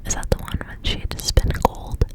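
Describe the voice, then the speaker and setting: A woman whispering as an ASMR host, reading stories aloud with casual asides in a hushed, unvoiced delivery. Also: brisk. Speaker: ASMR reader, host of the channel.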